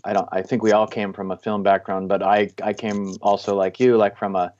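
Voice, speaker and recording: Deep-voiced guy hosting, long meandering questions, kinda muffled audio. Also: level tone, brisk, darkish tone. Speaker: podcast host. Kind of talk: casual podcast interview.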